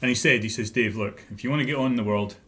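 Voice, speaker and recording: A man's voice: medium pitch, quick pace, pushed and bright. A Scottish man in his fifties, a guest author chatting casually and telling anecdotes with easy laughs. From Scotland. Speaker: guest author and leadership trainer. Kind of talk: casual interview conversation.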